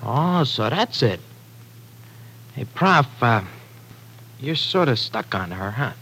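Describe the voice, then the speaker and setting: A man's voice: medium pitch, big pitch swings, takes it slowly, pushed and bright, a little hiss or hum, exaggerated voice. An actor playing a brash, slangy young tough guy in an old-time radio drama, blunt and eager. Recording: vintage radio broadcast, slightly rough.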